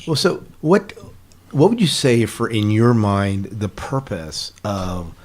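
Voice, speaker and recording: A man hosting a podcast, interviewing a guest in a casual, somewhat halting way with repeated words. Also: fairly low pitch, animated. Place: remote call recording.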